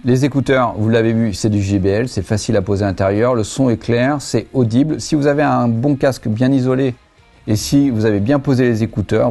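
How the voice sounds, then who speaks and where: A man's voice: mid-range voice, warm tone. A man giving a casual, chatty product review, explaining things in colloquial language. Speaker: motorcycle gear reviewer, host.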